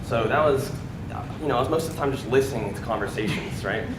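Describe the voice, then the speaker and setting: A young man from the States tells his success story to a packed event room, talking loosely, filling gaps with "um" and checking in with "right?". His voice sits at an ordinary pitch for a man. It rings around a big, reverberant hall, with a steady murmur of noise underneath. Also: fast. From United States. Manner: casual, many fillers and "right?" tags. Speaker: speaker at a sales event. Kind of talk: motivational testimonial on stage. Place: large event room before an audience. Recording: echoey room with background noise.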